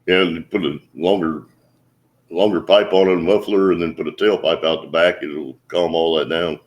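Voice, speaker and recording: A man with a deep voice hosting a casual livestream chat, giving slow, steady advice. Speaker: livestream host.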